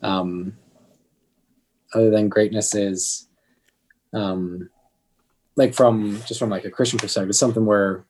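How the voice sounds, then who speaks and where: A man in his mid twenties chats as a podcast guest. His voice is a little low, and he phrases things loosely and hesitantly. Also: fairly even intonation, a little slow, long pauses, a little mellow, breathy edge, poor audio. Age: mid 20s. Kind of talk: casual chat.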